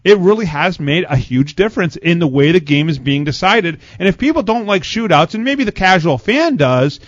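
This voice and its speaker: A man hosting a sports talk show, interviewing a guest in a conversational way. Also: high voice. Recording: muffled, roomy sound.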